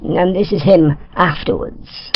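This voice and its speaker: A man voicing a cheeky, rough working-class sound technician with a British accent. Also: on the high side, unhurried, lo-fi sound, background noise. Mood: matter-of-fact, cheeky.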